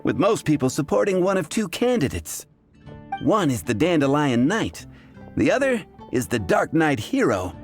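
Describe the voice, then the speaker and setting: A man's voice: a little high, huge pitch swings, normal speed, soft background music. A man playing a wry, wordy, easygoing tavern character in a video game. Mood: amused, wry.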